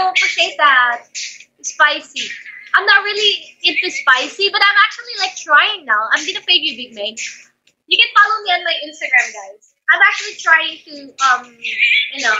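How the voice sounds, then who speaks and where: A woman's voice: very high voice, pushed and bright, dull audio. A young Filipino woman with a Filipino accent, chatting casually on a phone livestream and thanking viewers. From Philippines. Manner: chatty, thanks viewers for gifts, laughs often. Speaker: livestream host. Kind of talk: casual live-stream chat with viewers. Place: indoor bedroom with string lights. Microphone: phone on a stand, close.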